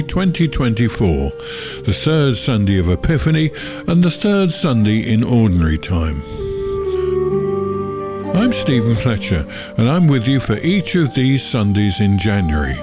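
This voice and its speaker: Man leading prayer, lively rise and fall. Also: medium pitch. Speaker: prayer service leader. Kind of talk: scripted religious address.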